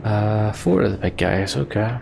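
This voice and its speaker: Low-voiced man doing game commentary over music. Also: unhurried, creaky. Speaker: let's play host. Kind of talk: reading game text aloud and casual gameplay commentary.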